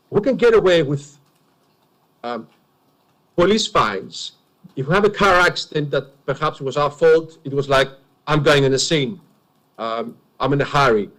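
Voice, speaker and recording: A man's voice: mid-range voice, rough recording. A man with a heavy Greek accent, a native Greek speaker, giving earnest, emphatic testimony as a witness at an enquiry. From Greece.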